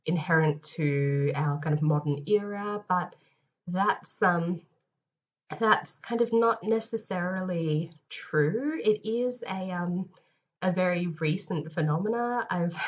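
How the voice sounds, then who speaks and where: A woman lecturer with a head cold, sounding nasal and a bit hoarse, giving a recorded lecture with many ums and restarts. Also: low voice. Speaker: university lecturer.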